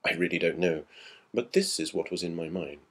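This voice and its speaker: A man playing an older, reflective gentleman who recalls ghostly events in a hesitant, old-fashioned, musing way, as in a radio story. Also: low-pitched, fairly quick, breathy edge. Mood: thoughtful.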